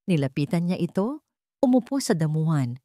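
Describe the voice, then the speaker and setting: A woman with a Filipino accent narrating a scripted story in a measured, solemn, melodramatic way. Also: sits low, big pitch swings, mellow, dry recording, studio quality.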